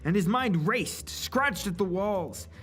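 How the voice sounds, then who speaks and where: Mid-pitched dramatic story narrator. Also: lively intonation, slow pace, a bit bright, soft background music.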